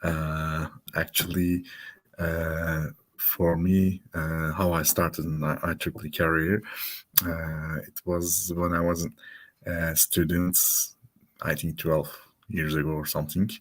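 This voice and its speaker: A man from Turkey with a Turkish accent, moderating a webinar and speaking haltingly with filler sounds. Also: extremely low pitch, level tone. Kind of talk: webinar talk.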